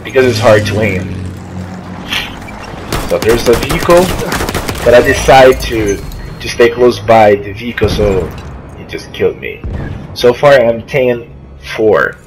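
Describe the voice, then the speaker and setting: A young Brazilian man with a Portuguese accent talks through his match in English, often pausing and restarting to find his words. His pitch sits at an ordinary middle height. A clear layer of noise runs under the whole makeshift recording. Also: lively intonation. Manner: halting, searching for words, many restarts. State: coughing from allergy. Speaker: gamer. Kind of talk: casual gameplay commentary. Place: friend's house while traveling. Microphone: makeshift mic, not his usual. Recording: noisy, poor-quality home recording.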